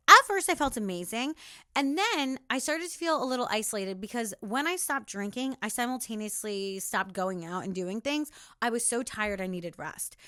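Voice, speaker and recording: A young woman with a raspy voice giving an emphatic motivational monologue as a podcast host, talking straight to the listener. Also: fairly high pitch, sharp tone, no room sound, studio quality.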